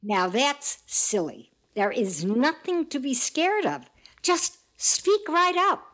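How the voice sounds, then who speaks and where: Woman playing slow-spoken, nagging radio mother. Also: sits high, very animated, fairly bright tone, full character voice. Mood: insistent. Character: nagging, caring mother of a grown son. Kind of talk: old-time radio sitcom acting. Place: indoors at home on a video call.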